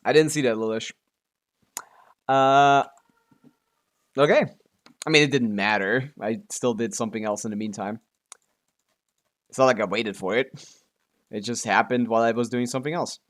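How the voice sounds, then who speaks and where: A man's voice: mid-range voice, normal speed, long pauses. A man chatting casually on a gaming livestream as the streamer, often muttering to himself and swearing offhandedly. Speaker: live streamer.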